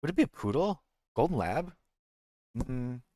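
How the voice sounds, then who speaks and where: American man, very lively, swooping pitch. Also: a little slow, no echo, studio quality. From United States. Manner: rambling, self-correcting, jokey. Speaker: game streamer. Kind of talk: casual livestream chat.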